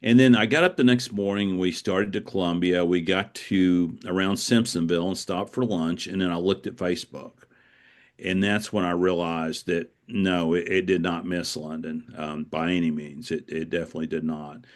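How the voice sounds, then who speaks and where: A middle-aged man speaking casually as an interview guest, explaining things in a halting way and repeating words. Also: low voice, steady tone. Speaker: guest, author.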